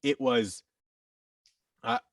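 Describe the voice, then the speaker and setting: A man's voice: mid-range voice, big pitch swings, extremely slow, vocal fry, no room sound, studio quality, distant mic. A man telling personal stories as a podcast guest, candid and reflective, often interrupting himself.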